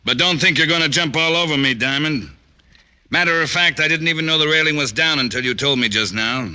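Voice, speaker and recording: A man's voice: a little high, brisk, clear, resonant tone. An actor playing a combative, penny-pinching male factory boss in 1940s radio drama style. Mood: defensive bluster. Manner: forceful, combative.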